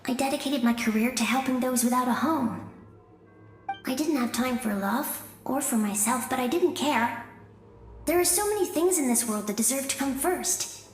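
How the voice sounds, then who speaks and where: A woman voicing an affectionate, cheerful young woman, a devoted little sister in a video game, with tender, expressive delivery. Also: high voice, moderate pace, roomy sound, soft background music. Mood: content, gentle.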